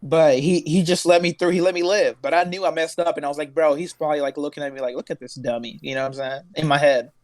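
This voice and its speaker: A young man with a slightly raised pitch tells stories on a casual podcast. He is from Tanzania and talks in a loose, slangy way, laughing often between lines. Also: steady tone, quick pace, few pauses, darkish tone, dry recording. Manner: laid-back storytelling, laughs often, slangy. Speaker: podcast co-host. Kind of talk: casual chat.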